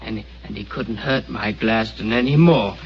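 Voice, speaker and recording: An actor playing a simple, gentle young man devoted to his dog, who speaks in a childlike, halting, stammering way, heard on an old radio drama. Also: lowish voice, natural voice. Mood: childlike, eerie. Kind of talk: 1940s radio acting. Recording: dull old radio broadcast with faint hiss.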